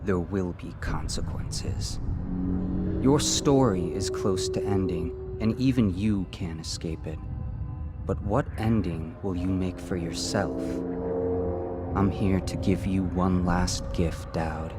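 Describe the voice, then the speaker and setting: Brief: ageless male spirit, deep and slow, cryptic, music under the game scene. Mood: calm, cryptic. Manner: slow, measured, quietly mysterious. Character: ancient otherworldly male spirit, calm and knowing. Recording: game cutscene with music behind.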